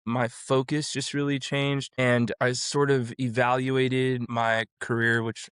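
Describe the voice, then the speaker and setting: Smooth-voiced man telling his story. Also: mid-range voice, level tone, slow pace, a bit bright, dry recording, clean studio sound. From Florida, USA. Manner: rambling, with many restarts and fillers. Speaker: vocal coach and music producer guest. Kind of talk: casual interview conversation.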